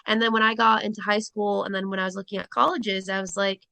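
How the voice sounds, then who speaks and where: A woman's voice: medium pitch, little pitch movement, fast, vocal fry, sharp tone, no echo. A woman in her twenties from Rhode Island, a teacher and coach talking casually as an interview guest, chatty with lots of ums. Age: 20s.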